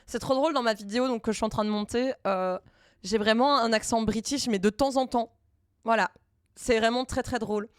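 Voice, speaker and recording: A woman streamer chatting casually while she codes live, thinking aloud in halting bits and dropping in English coding terms. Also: on the high side, no room sound, studio quality. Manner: thinks aloud, halting, many fillers and restarts.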